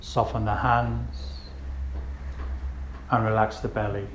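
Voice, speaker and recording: A man leads a guided meditation, giving gentle, unhurried instructions with pauses between phrases. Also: fairly low pitch, level tone. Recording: roomy echo with faint background noise.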